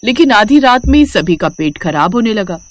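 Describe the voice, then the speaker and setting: A woman's voice: medium pitch, sing-song, normal speed. A woman narrating a cartoon moral story in a scripted storytelling style.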